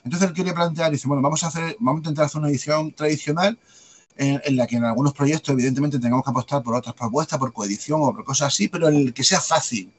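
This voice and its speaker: A man with a light Andalusian accent, a guest talking at length in a rapid, discursive way and tagging on questions. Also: on the high side, husky. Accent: light Andalusian Spanish. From Andalusia, Spain. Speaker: guest, publisher and author. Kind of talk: conversational interview answers.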